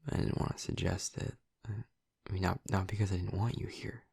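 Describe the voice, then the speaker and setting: Man acting a husky, low-voiced gentle vampire roommate. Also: steady tone, dry recording, studio quality, full character voice. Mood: awkward, sincere. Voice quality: soft, breathy, husky. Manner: hesitant, halting, tender. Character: gentle, awkward, affectionate male vampire roommate. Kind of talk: intimate audio roleplay addressed to the listener. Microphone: close, dry microphone.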